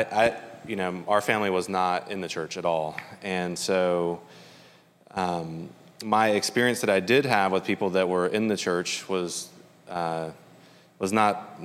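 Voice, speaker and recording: Very deep-voiced man, slow. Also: steady tone, big-room sound. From Middle Georgia, US. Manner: slow, measured, with many pauses and fillers. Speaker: panel guest. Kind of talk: personal testimony in a panel.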